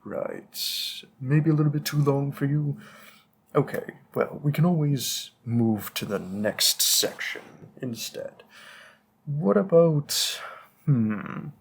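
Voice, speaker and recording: Slow, hesitant old-fashioned bookseller played by a man. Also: on the high side, creaky, slight background noise. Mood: bookish, helpful. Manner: polite, hesitant, rambling, gently formal. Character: long-lived, bookish, old-fashioned male bookstore keeper, politely stalling. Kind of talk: scripted roleplay audio drama, addressing the listener.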